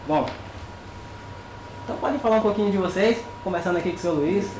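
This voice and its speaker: A man's voice: high voice, fast talker. A man with a Brazilian Portuguese accent hosting a casual podcast, chatty and upbeat. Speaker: host, barber. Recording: echoey room, distant mic, noisy.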